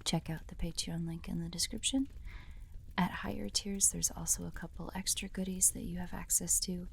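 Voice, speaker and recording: A woman audio creator speaks to her listeners in a casual outro. Her voice sits on the low side with plenty of vocal fry, and there is faint background noise. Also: fairly even intonation, moderate pace.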